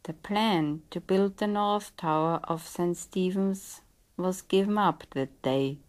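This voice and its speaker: Woman telling an old legend, really slow pace, measured storyteller delivery, reading from a script. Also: lowish voice, a little mellow.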